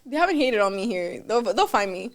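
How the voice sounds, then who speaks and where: A young woman from Miami hosting a podcast, chatting in an animated way and slipping Spanish phrases into her English. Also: medium pitch, brisk. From Miami, United States. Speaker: podcast host. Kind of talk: casual interview chat.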